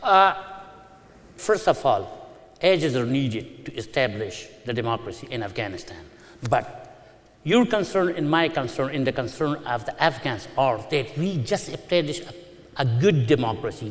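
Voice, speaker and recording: A man's voice: medium pitch, animated, moderate pace. A man on a debate panel answering earnestly in a formal manner, often repeating words, on a lapel mic at a desk. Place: large televised debate hall with audience. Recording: long hall reverb.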